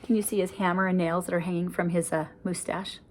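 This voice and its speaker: An older woman reading a rhyming picture book aloud to children, with a lively, sing-song delivery and chatty asides about the pictures. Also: lowish voice, fast talker, slight background noise.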